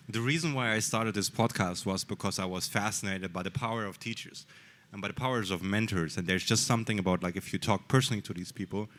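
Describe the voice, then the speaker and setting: A man's voice: lowish voice, a bit bright. A man hosting a podcast, asking curious, long winding questions. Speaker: podcast host. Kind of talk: interview questions.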